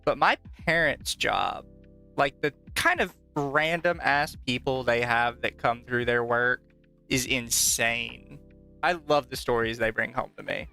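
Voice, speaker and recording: A woman's voice: rumbling low, unhurried, vocal fry, pushed and bright. A woman co-hosting a podcast in casual chat, blunt and playful in manner. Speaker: podcast co-host. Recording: background music under voices. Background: background music.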